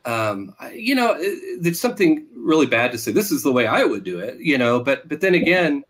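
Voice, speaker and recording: Halting middle-aged man in interview. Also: a little high, big pitch swings, a bit bright. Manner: halting, circling, self-interrupting. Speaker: author being interviewed, teacher. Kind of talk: conversational interview answers.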